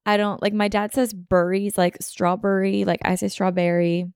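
A woman's voice: mid-range voice, level tone, normal speed, a little mellow, clean tone, no room sound, studio quality. Chatty young American woman, sniffly. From Philadelphia suburbs, USA. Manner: rambling, heavy use of "like", self-interrupting. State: sniffly, slightly runny nose. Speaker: podcast host. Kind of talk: casual chatty podcast monologue. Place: apartment room. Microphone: podcast mic on video.

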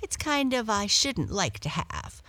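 A curious, chatty house cat, as a woman reads her aloud in a storybook, her voice pitched well up and lively as it leaps between notes. She asks eager, excited questions. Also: measured pace, dry recording, exaggerated voice.

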